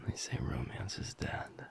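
A man playing a teasing, affectionate werewolf boyfriend in an intimate audio roleplay, with a breathy, gravelly murmur and a playful mock-stern manner. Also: slight background noise, lightly acted. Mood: relaxed, affectionate.

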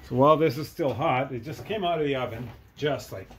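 Middle-aged male DIY maker, mid-pitched, casual and halting delivery while working, light workshop noise on a camera mic. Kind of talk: casual hands-on workshop demonstration. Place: cluttered workshop with dirt floor.

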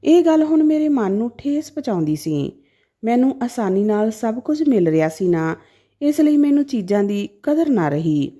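A woman narrating a dramatic first-person story in an expressive, emotive way. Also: fairly high pitch, normal speed.

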